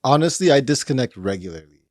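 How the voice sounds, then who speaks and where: Man, medium pitch, matter-of-fact casual chat, dry sound. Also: big pitch swings, quick pace, no room sound. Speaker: podcast co-host.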